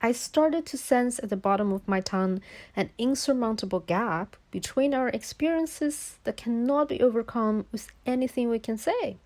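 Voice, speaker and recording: Measured woman speaking as a Chinese native. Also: on the high side, a little mellow. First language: Chinese dialect. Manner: measured, explanatory. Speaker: guest speaker. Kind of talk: scripted voice-over essay.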